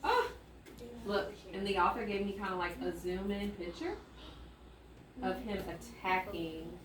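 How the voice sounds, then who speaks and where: Casting: female elementary teacher, mid-pitched, instructive read-aloud with musing asides, recorded roomy and distant. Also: measured pace, a crowd in the background. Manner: thinking aloud with musing hums and exclamations; instructive, checking in with listeners. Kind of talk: interactive read-aloud lesson to children. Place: classroom with echo. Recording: roomy, distant mic, faint noise.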